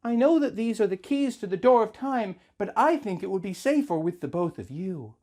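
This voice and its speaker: A man's voice: high voice, animated, normal speed, mellow, pitched above the performer's own voice. A man voicing a gracious, earnest young fantasy princess in a solemn, theatrical way for a story reading. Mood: solemn, reassuring.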